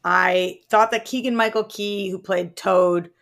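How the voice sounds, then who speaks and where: Woman podcast co-host with a creaky voice. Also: medium pitch, steady tone, measured pace, a bit bright. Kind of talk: casual film discussion.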